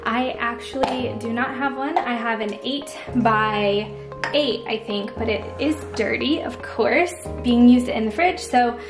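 A woman's voice: a little high, takes it slowly. A woman giving a casual, friendly and chatty recipe walkthrough as a cooking vlogger. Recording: echoey room, music underneath. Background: background music.

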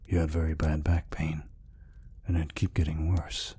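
A man voicing a gentle, peaceful orc healer in an ASMR roleplay, speaking softly and reassuringly without hurry. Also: monotone, no echo, heavily acted. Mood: fond, reminiscing. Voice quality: deep, husky, breathy. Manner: soft, unhurried, reassuring. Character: gentle, peaceful male orc healer, soft-hearted caretaker.

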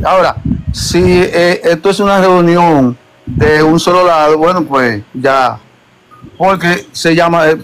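A man's voice: a little high, a bit bright, low-quality recording. A man from the Dominican Republic with a Dominican accent, arguing insistently on a group call, repeating himself to hold the floor. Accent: Dominican Spanish. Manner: insistent, talks over others, repeats himself. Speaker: caller in online debate. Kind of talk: heated debate. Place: home, living in the United States.

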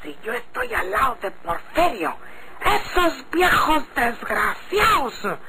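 A rustic Mexican manservant, halting and folksy, with a very lively pitch. It is old radio drama on a noisy vintage recording. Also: male voice, exaggerated voice. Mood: suspicious, indignant.

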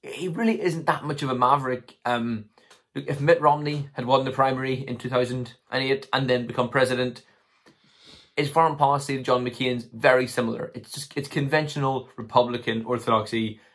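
A man in his twenties with a slightly hoarse voice, talking casually and tentatively to camera as he thinks through his commentary. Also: mid-range voice, fairly even intonation, a little fast, fairly bright tone. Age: 20s. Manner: tentative, hedging, thinking aloud. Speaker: political commentary vlogger. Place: small room at home, indoors.

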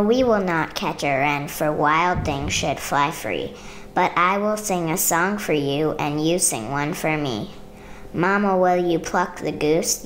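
A woman reading a children's picture book aloud in measured, rhythmic rhyme. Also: on the low side, slight background noise. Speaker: storybook reader.

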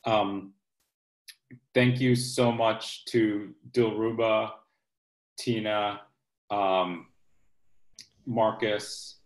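A man's voice: on the low side, level tone, drawn-out words, long pauses. A man in his forties hosting an online poetry reading from his home, speaking on a video call. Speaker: poet and series host. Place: home kitchen, indoors. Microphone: laptop at arm's length, video call. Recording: roomy, echoey video call sound.

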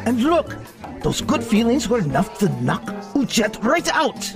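A man playing an excitable teacher narrating to his class in a theatrical radio comedy style. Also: very high-pitched, unhurried, creaky, over music, heavily acted. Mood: excited. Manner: exclamatory, theatrical.

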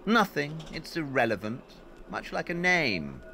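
A man's voice: fairly high pitch, big pitch swings, unhurried, background noise. An actor playing a brilliant, self-assured male detective in an audio drama, explaining things in a clipped, superior, matter-of-fact way. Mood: smug, explaining.

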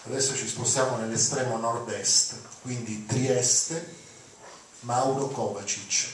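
A man's voice: medium pitch, steady tone, takes it slowly. Older male lecturer, hesitant and informal, heard off-mic in a reverberant auditorium. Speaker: lecturer introducing readings. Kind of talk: informal spoken introduction to a lecture. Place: auditorium, recorded from the audience. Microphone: handheld mic, picked up by far camera. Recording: echoey hall, distant mic, background noise.

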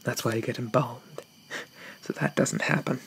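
A shy young zombie gentleman, played by a man, murmurs in a creaky half-whisper. He is polite, hesitant and apologetic, in a gentle ASMR roleplay style, with noticeable background noise around him. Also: mid-range voice, steady tone, normal speed, low-quality recording. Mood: wistful, hesitant. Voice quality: breathy, often whispered.

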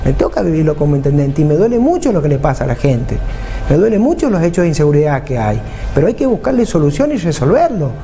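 A man in his forties with an Argentine Spanish accent speaks in a mellow, slightly high voice, earnest and fond of repeating points for emphasis. He sits in a TV studio answering questions, with a faint hum behind him. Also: very animated, quick pace. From San Juan, Argentina. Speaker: mayor and vice-governor candidate. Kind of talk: political interview answers. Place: TV studio, seated at a table.

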